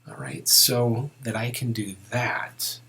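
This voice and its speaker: A man giving an informal step-by-step software tutorial, thinking aloud as he works. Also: medium pitch, slow, vocal fry.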